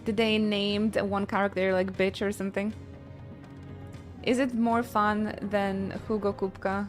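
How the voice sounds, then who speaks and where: A woman's voice: medium pitch, level tone, a little slow. A woman live-streaming a video game, chatting casually and reacting with playful exclamations. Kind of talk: casual chat and reactions during gameplay. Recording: game music behind the voice.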